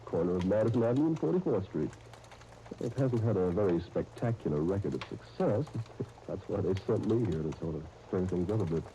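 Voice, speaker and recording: A man's voice: deep voice, animated, fairly quick, warm tone. An actor playing a genial, self-mocking young businessman with playful, mock-grand phrasing in a 1930s radio drama. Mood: jovial. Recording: dull, noisy 1939 radio broadcast.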